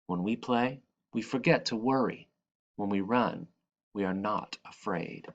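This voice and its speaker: A man reads a children's story aloud in a fairly low voice. His delivery is slow and expressive, with deliberate, dramatic phrasing. The recording is a little dull and lo-fi. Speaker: reader of a children's picture book. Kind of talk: expressive read-aloud narration.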